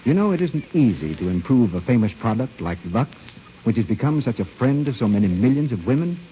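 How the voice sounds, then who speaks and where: A man announcing on an old-time radio show, reading a scripted commercial in a polished, emphatic sales pitch. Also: big pitch swings, a little fast, a little hiss or hum. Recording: muffled old radio broadcast.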